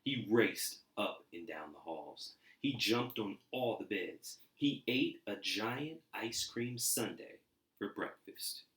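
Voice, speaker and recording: Male reader for a children's storybook, deep low register, expressive narration, audibly echoey room. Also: slow pace. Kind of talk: expressive storybook read-aloud. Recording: roomy, echoey indoor sound.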